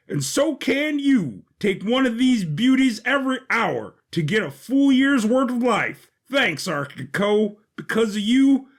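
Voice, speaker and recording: Peppy robot ballplayer hawking products in an audio drama, performed by a male narrator, pitched well up, corny and promotional. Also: full character voice. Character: upbeat baseball robot pitcher delivering a corny ad.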